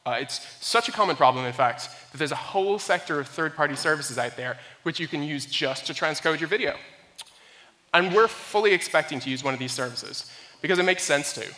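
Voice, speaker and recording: A young man presents a technical talk in a hall with long reverb. His voice sits a little high and has a bright, forceful edge. He explains things in a measured, prepared way. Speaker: software engineer, conference speaker. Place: indoor conference room, speaking on stage.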